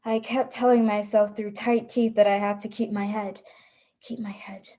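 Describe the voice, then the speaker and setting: A woman's voice: medium pitch, level tone, normal speed, mellow, lightly acted. A woman playing a frightened but resolute hunted heroine, narrating a radio play in a dramatic, suspenseful way.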